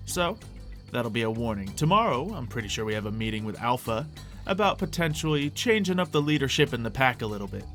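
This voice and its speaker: A man's voice: mid-range voice, sing-song, fairly quick, fairly bright tone. A man hosting a gameplay video with playful, chatty, theatrical commentary that often interrupts itself. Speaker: gaming video host. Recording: music behind the voice. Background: music.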